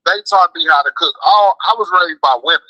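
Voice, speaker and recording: A man's voice: fairly high pitch, fairly quick, pushed and bright, dry recording, dull audio. An American man phoning into a show, chatting casually and telling stories in slangy, emphatic, repetitive phrases. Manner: slangy, emphatic, repeats himself. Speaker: phone-in guest, food truck cook. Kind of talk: casual chat, storytelling. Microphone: phone call.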